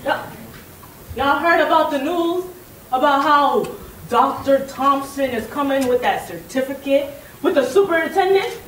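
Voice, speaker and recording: A woman acting out a weary older school staffer who gossips with sighs and drawn-out exclamations. Her voice sits high and swings widely. It is heard from afar in a reverberant room with faint noise. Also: lively intonation, a bit bright. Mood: gossiping. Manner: sighing, chatty, drawn-out exclamations. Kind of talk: stage monologue. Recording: echoey room from far off.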